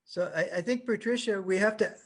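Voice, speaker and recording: Modest man in his sixties. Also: high-pitched. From Canada. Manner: modest, thoughtful, measured. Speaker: translator. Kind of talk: panel discussion answers.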